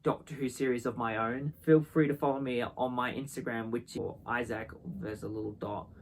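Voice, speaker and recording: A man's voice: medium pitch, steady tone, normal speed, a little hiss or hum. A man from Australia with an Australian accent, chatting casually as an amateur actor in an interview.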